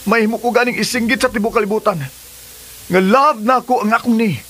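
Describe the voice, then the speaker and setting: A man's voice: extremely high pitch, fairly even intonation, brisk, a bit bright. A man with a Cebuano accent playing an ardent, defensive lover and expectant father in a radio drama. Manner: emphatic, earnest. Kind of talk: Filipino radio drama acting. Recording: music under the dialogue.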